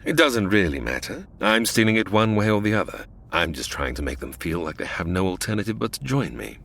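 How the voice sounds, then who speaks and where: An actor playing a scheming male criminal mastermind in a comedy audio drama, with a smooth, theatrical, menacing delivery. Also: lowish voice, very animated, brisk, fairly bright tone, exaggerated voice.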